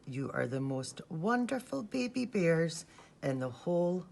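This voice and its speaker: A woman voicing a loving mummy bear from a children's storybook, gentle, patient and reassuring. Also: deep voice, sing-song, unhurried, creaky, pitched above the performer's own voice, heavily acted. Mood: fond, reassuring.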